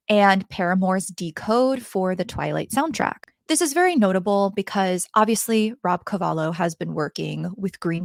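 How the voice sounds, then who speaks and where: Hoarse woman reviewing an album. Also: fairly low pitch, no echo, studio quality. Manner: chatty, talks straight to viewers. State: hoarse, raspy voice from the cold. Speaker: music reviewer. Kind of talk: casual album review vlog. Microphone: handheld microphone.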